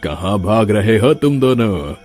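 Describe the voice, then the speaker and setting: A man's voice: lowish voice, lively intonation, a little slow, a little mellow, faint music behind. A man voicing a menacing male ghost who gloats and laughs between threats in a cartoon. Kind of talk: cartoon voice acting.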